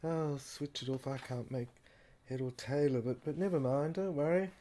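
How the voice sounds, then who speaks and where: A woman playing a weary researcher in an audio drama, haltingly reading out translated fragments and repeating phrases. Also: very deep voice, warm tone, a little hiss or hum. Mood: weary, baffled. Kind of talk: amateur serial audio drama acting, halting read-aloud dialogue.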